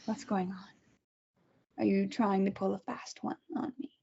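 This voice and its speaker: A woman's voice: medium pitch, little pitch movement, measured pace, gravelly, warm tone, dull audio. A woman reading a cold, self-righteous captor at a table read, making clipped, controlled threats. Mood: menacing.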